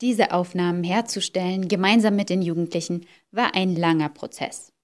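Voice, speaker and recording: A woman reporter reading scripted narration in a clear, neutral way. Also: fairly low pitch, fairly even intonation, normal speed.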